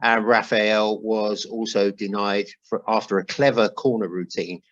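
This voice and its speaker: Man, low pitch, football podcast host, chatty, wry, dull lo-fi audio. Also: steady tone, a little slow, fairly bright tone. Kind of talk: football podcast chat reading notes and listener emails.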